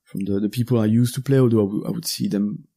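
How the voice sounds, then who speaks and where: A man in his thirties with a Belgian French accent, talking as a guest on a podcast interview in English, his second language. His voice is gravelly and mellow, and he speaks haltingly, stopping often to find the right word. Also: lowish voice, steady tone. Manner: halting and searching for words. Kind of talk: casual conversational interview.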